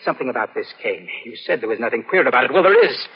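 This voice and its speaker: An actor playing a tormented, desperate young murderer in melodramatic 1940s radio suspense style, with a stammering, fervent delivery. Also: male voice, mid-range voice, animated, racing, slightly rough. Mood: menacing. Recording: muffled, noisy old radio broadcast.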